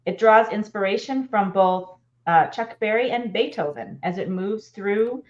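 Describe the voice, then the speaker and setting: A woman's voice: medium pitch, animated, measured pace. A middle-aged woman co-hosting a casual live show from a room at home on a video call. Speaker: co-host. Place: furnished room at home, indoors. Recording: slightly dull video-call audio.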